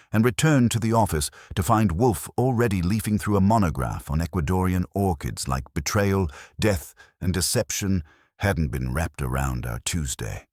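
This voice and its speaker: A man's voice: sits low, a little mellow, no echo, studio quality. A man reading a first-person detective story as scripted narration. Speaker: audiobook narrator.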